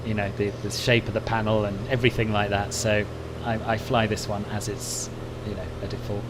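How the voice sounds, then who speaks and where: A man's voice: lowish voice, fairly quick. A man who speaks English natively, chatting casually and politely as host of a flight simulator livestream and reading out viewer comments. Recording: clear steady background hum.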